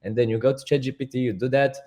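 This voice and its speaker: A man with a Romanian accent, a project founder speaking as a guest and explaining step by step in halting, restarting sentences. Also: mid-range voice, fairly even intonation, mellow. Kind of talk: casual interview talk.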